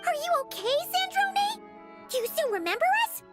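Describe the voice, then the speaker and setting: Squeaky, very high childlike companion. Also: female voice, big pitch swings, normal speed, over music. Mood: worried, emotional. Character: small childlike floating companion, excitable, affectionate girl. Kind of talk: video game voice acting.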